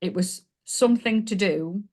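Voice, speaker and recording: A woman's voice: mid-range voice, normal speed. A woman in her forties with a Yorkshire accent, guesting on a podcast and telling her story in a chatty, self-deprecating way. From Yorkshire, England. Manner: warm, chatty, self-deprecating, laughs along. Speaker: podcast guest, business coach. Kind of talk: casual interview storytelling.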